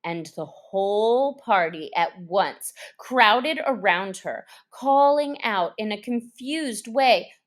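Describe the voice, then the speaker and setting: A woman reading a children's story aloud as an expressive audiobook narrator. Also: medium pitch, slow, few pauses. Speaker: storyteller, reader.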